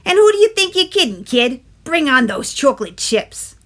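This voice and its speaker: Woman voicing a brash, wheedling talking tooth, high and swooping. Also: very animated, moderate pace. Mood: brash, demanding. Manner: loud, pleading, brash wisecracking. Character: bossy, wheedling talking sweet tooth craving candy. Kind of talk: animated picture-book character voice.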